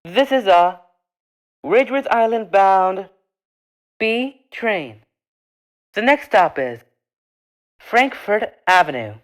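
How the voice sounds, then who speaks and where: A woman reading scripted train stop announcements as a transit announcer, in measured, formulaic, separate phrases. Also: medium pitch, big pitch swings.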